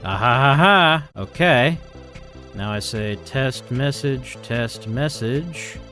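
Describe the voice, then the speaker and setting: A man's voice: medium pitch, animated, slow, sharp tone. A man thinking aloud in casual, rambling, self-deprecating commentary as he live-streams his programming. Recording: music playing behind the voice.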